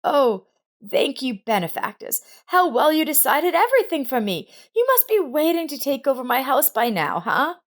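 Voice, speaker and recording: A woman's voice: high-pitched, sing-song, normal speed, voice pushed up. A woman voicing an angry, wounded, proud elderly grandmother in a narrated family drama story. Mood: bitter anger. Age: older.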